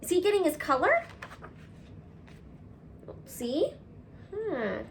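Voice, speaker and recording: A woman reading a picture book aloud to children, expressive and cutesy, talking directly to her young listeners. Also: extremely high pitch, slow, a little hiss or hum. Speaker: read-aloud host, storyteller for children.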